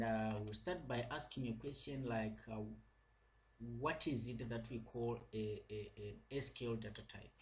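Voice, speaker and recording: A man lecturing slowly and patiently over slides. His pitch sits in an ordinary middle range, and he repeats points often and leans on many fillers. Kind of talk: slow recorded lecture.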